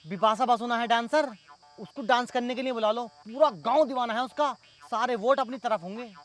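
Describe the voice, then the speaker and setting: An actor playing a wily, flattering village election fixer who hustles for money in a comedy sketch. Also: male voice, very high-pitched. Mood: scheming, persuasive. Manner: flattering, fast-talking hustler. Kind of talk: rustic comedy sketch acting.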